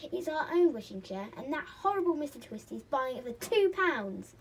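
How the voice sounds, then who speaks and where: A woman voicing excitable, dramatic young children from a storybook. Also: high-pitched, normal speed, a little hiss or hum. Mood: upset. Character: excitable young brother and sister, pleading and dramatic.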